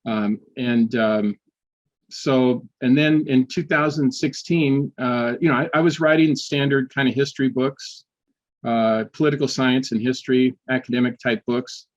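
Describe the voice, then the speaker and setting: An older man, a history professor, telling personal stories in a casual interview, often restarting sentences and filling pauses. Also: mid-range voice, steady tone, lo-fi sound. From United States. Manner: halting, many restarts and fillers.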